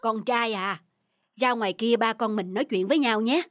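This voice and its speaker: Patient cartoon dad. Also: male voice, very high voice, normal speed, fairly bright tone, no echo, clean studio sound. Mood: patient, earnest. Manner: patient, explanatory. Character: patient, gentle father teaching his small son. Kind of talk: cartoon dialogue.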